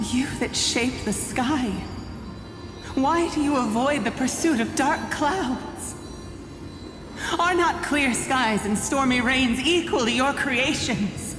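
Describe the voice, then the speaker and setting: A woman playing a proud, defiant priestess in a game, solemn and declamatory in formal speech. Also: a little high. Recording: cavernous echo, music behind.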